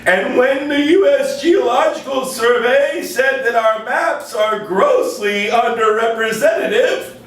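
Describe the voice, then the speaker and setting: Very high-pitched, smug puppet bureaucrat. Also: male voice, a bit bright, exaggerated voice. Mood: gleeful, dismissive. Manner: giggly, drawn-out, flippant delivery. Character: gleefully dismissive puppet bureaucrat, smug man of state agency. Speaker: agency spokesman in satirical skit. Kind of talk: exaggerated satirical puppet-show acting. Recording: very echoey room, far from microphone.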